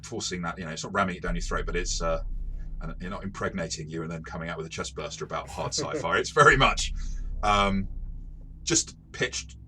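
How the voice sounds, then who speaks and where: Very deep-voiced man with a bright, forceful edge. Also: a little fast, low-quality recording. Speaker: tabletop game reviewer and game master. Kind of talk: casual two-person review chat.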